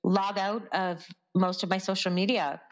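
A woman's voice: medium pitch, little pitch movement, moderate pace. A Canadian woman journalist talking as a guest in a casual interview, earnest and reflective, laughing often.

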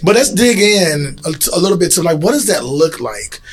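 A man's voice: fairly high pitch, sing-song, normal speed, faint music behind. A man hosting a casual panel discussion, informal and jokey in the way he talks. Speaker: podcast host.

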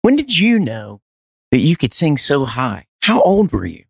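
A middle-aged man, a guest singer, telling stories in lively, admiring casual conversation. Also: on the high side, a little slow, gravelly, slightly rough, no echo, clean studio sound. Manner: animated storyteller, admiring.

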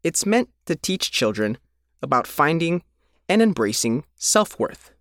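A man reading a scripted news feature as its reporter, in measured, deliberate short phrases. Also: on the high side, very animated, fairly bright tone. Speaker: reporter reading his own written feature.

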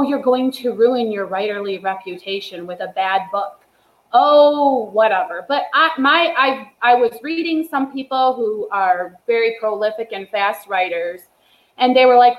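Blunt, emphatic woman in an echoey room. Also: a little high. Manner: blunt, emphatic advice-giving. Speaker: podcast guest, writer. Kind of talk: casual chat.

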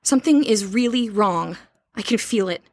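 A woman's voice: mid-range voice, expressive, moderate pace, no echo, studio quality. An actress playing an anxious, insistent young woman with an urgent, direct manner in an audio drama. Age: young adult. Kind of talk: naturalistic audio drama acting.

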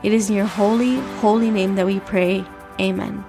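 A woman's voice: medium pitch, steady tone, normal speed. An American woman with a stuffy, slightly nasal voice hosts a devotional podcast, speaking conversationally. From Miami, Florida, USA. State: stuffy, congested nose. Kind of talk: conversational devotional talk and prayer. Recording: music bed behind the voice. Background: background music.

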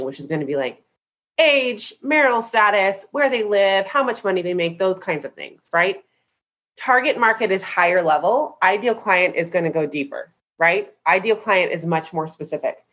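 A woman's voice: on the low side, fairly bright tone. Upbeat congested woman, business coach teaching a group call; chatty, keeps checking in. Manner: upbeat, chatty, says "right?" often. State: congested from a head cold.

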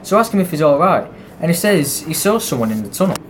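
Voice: mid-range voice, big pitch swings, quick pace, close to an everyday voice. A performer playing a gossipy, grumbling male railway worker in an amateur audio drama. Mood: gossipy, uneasy. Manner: colloquial, conversational. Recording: faint background noise and ambience.

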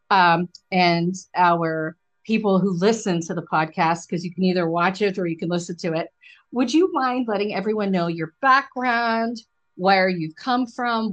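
A woman's voice: medium pitch, dull audio. A woman from the US East Coast with an American accent, interviewing conversationally as a podcast host, chatty and encouraging.

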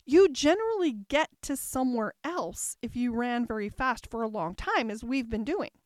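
A woman's voice: a little high, lively intonation, normal speed, dry recording, clean studio sound, close to an everyday voice. A woman voicing a polite, puzzled and timid young girl in a storybook tale, with a hesitant manner. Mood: breathless, puzzled. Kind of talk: storybook character voice.

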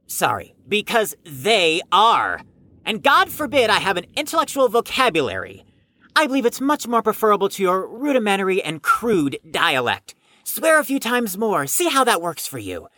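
A woman's voice: mid-range voice, huge pitch swings, normal speed, bright, forceful tone, no room sound, full character voice. A woman playing a prim, haughty young know-it-all in an audio drama, pompous, precise and wordy. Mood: indignant, smug.

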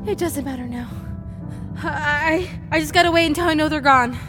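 A woman's voice: high-pitched, moderate pace, music in the background, natural voice. A woman acting a defiant, exhausted young journalist in her twenties in an audio drama, raw, emotional and sweary. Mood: weary, resigned. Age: 20s. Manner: raw, foul-mouthed, emotional outbursts.

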